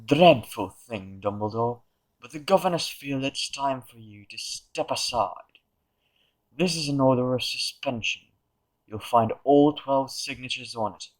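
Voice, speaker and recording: A man performs a smug aristocrat in an audio play. He drawls with haughty, mock-polite contempt in a mid-pitched male voice. Every phrase is coolly sneering. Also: measured pace, close to an everyday voice, low-quality recording. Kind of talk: fan audio drama acting.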